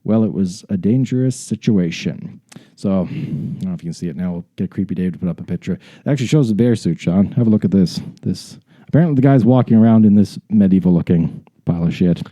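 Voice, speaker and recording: A man's voice: low-pitched, brisk, dark tone, dry recording. A man co-hosting a podcast, reading a story aloud and breaking into casual, irreverent and profane asides.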